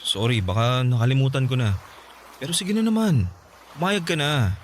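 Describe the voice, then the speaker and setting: A man's voice: mid-range voice, lively intonation, normal speed, a little hiss or hum, natural voice. An actor playing a pleading yet dismissive boyfriend and father in a radio drama, coaxing and insistent, with a Filipino accent.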